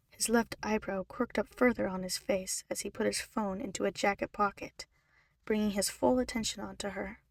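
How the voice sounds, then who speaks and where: A woman narrates a piece of fiction as a scripted reading. Her voice sits at a middle pitch, recorded close and dry with little room sound. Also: a little mellow, dry recording. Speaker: podfic narrator.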